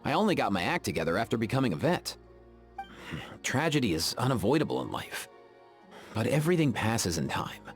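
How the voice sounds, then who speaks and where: An actor voicing an easygoing, friendly young veterinarian in a video game, with faint music behind. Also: male voice, on the low side, sing-song, fairly quick, pauses often. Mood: reflective. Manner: easygoing and friendly, with frequent laughs. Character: laid-back young man, a veterinarian who keeps saurians. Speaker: game character. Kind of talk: game voice acting.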